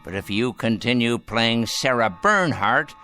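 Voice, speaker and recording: A sardonic old man of means, dying and scornful in a radio drama. He speaks slowly and deliberately, with theatrical swoops in pitch, over background music. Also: lively intonation, sharp tone. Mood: mocking. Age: older. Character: dying, caustic rich old grandfather, mocking his family.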